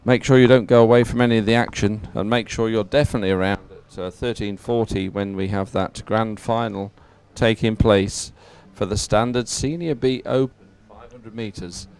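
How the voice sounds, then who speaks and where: A man's voice: lowish voice, steady tone, moderate pace, few pauses, a little mellow, clean tone. A man commentating live on a sporting event in a chatty, conversational style.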